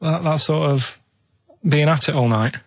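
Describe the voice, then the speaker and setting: A man's voice: fairly high pitch, unhurried, creaky. A man chatting on radio as producer and co-host, plain-spoken, earnest and a bit defensive. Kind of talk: casual radio chat. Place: radio studio.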